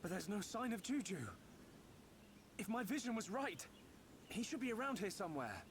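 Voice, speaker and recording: A man's voice: sits high, animated, moderate pace, slight background noise. An actor playing an earnest young male adventurer in a video game cutscene, with music in the recording. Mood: concerned, searching.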